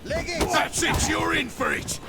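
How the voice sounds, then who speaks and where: A man playing a brash, foul-mouthed and hearty brawler in a fantasy video game, with a gravelly, salty delivery. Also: extremely high pitch, fairly even intonation, slow pace, over loud music. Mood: battle fury. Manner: boisterous, salty.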